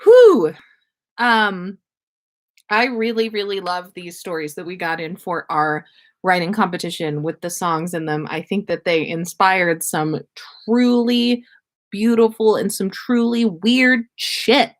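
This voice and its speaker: Slow-paced, slangy woman livestream host. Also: mid-range voice. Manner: slangy, swears freely; rambling, effusive. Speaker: podcast host. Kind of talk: casual livestream chat.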